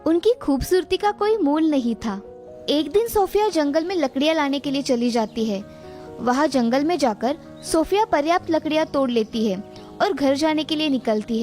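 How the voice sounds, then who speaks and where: A woman narrates a scripted children's moral tale. Her voice is high, with soft music playing behind it. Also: lively intonation, normal speed.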